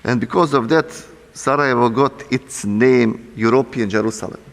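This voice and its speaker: A man from Bosnia with a Bosnian accent, an imam speaking English as a second language at a university seminar, earnest and halting, often repeating words as he restarts. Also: mid-range voice, vocal fry. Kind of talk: seminar talk. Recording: long hall reverb.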